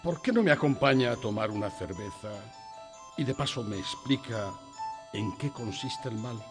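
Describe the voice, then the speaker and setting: An actor playing an amiable, wry police lieutenant in a radio drama, casual and gravelly. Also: male voice, medium pitch, animated, normal speed, music in the background, lightly acted. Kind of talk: Spanish radio drama acting.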